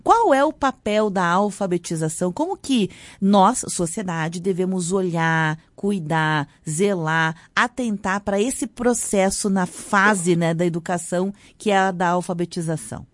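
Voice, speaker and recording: A woman, hosting and asking questions in a measured, listing way. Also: fairly low pitch, no echo. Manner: measured, enumerating. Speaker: host. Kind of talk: interview questions.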